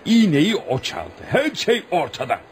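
An actor playing a stern, scornful tribesman in a radio play, scolding in a forceful way. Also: fairly high pitch, wildly swooping pitch, measured pace. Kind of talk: Turkish radio theatre acting. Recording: noisy old radio drama recording.

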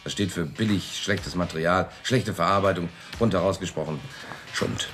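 A man playing a slick, self-assured advertising consultant in a radio drama, pitching in clipped slogans. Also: moderate pace, a bit bright. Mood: selling hard. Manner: salesman's pitch in clipped slogans with a pet filler phrase. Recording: music under the voice.

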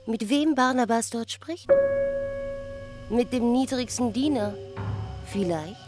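An actress playing a hopeful, devoted village woman in a literary radio drama, speaking in halting, deliberate broken phrases. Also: on the high side. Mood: hesitant hope. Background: music.

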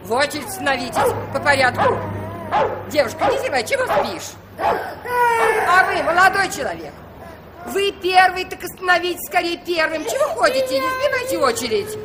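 A woman's voice: very high-pitched, big pitch swings, moderate pace. An actress playing a bossy, fussy middle-aged woman passenger who nags and scolds in an old radio play. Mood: bossy agitation. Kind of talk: 1960s Soviet radio play acting. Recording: noisy old 1960s radio recording.

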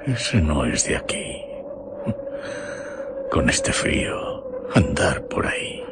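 Small radio drama part: nosy, suspicious local, slow deep mutter. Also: male voice, big pitch swings, heavy background noise, heavily acted. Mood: curious, disapproving. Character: muttering, suspicious male village onlooker.

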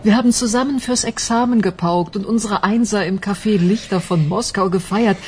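Actress as middle-aged female office worker under suspicion, chatty, mocking, medium pitch, radio crime drama with faint background noise. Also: normal speed, a little mellow, lightly acted. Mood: indignant, defensive. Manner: chatty, mocking, indignant when pressed.

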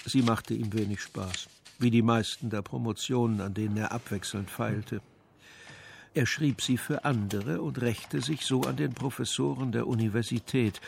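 A man narrating a radio crime play in a measured, matter-of-fact literary style. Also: fairly low pitch, gravelly, a little hiss or hum. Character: dry, composed narrator of a crime story.

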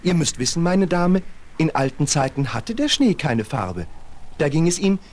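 Casting: innocent, courteous snowman for a fairy-tale audio drama; earnest, highly melodic delivery, slight record noise. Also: normal speed. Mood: courteous, storytelling. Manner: polite, wondering, expressive storytelling. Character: naive, earnest, courteous young male snowman. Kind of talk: 1970s German fairy-tale radio play. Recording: faint hiss of vinyl transfer.

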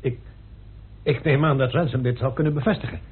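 A hesitant, cautious man in a radio drama. His speech halts and his voice is very husky. The old recording is narrow and thin. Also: medium pitch, steady tone, brisk. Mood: hesitant, uneasy. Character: hesitant, cautious man asking uneasy questions. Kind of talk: radio play acting.

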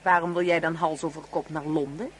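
A woman's voice: deep voice, steady tone, brisk, a little hiss or hum, natural voice. An actress playing a motherly, insistent married woman in a radio play, coaxing and teasing with little tag questions. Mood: soft, coaxing.

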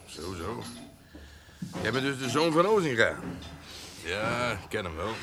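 A man's voice: mid-range voice, big pitch swings, measured pace. An actor playing a self-assured, boastful middle-aged horse breeder and father in a radio drama. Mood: breezy, boastful. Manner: self-assured, bragging, chuckling. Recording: clearly noisy sound with clicks.

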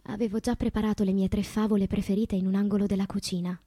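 Radio play: wounded, wistful woman poet played by an actress, husky and breathy, dramatic deliberate delivery, very dry close sound. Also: medium pitch, level tone, dark tone, no echo, lightly acted. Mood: wistful, haunted. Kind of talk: theatrical radio monologue of poetic memoir.

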